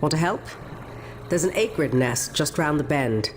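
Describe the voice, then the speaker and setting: Wary low-voiced woman scientist. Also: animated, moderate pace, soft background music. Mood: dry, businesslike. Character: wary, sardonic woman research scientist, intense and guarded.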